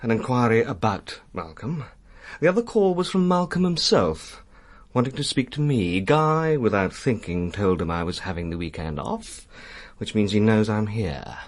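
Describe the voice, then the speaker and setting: An actor in a radio drama playing a guarded, well-to-do military man who speaks tersely and deliberately. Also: on the low side, animated, natural voice. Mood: confiding, careful.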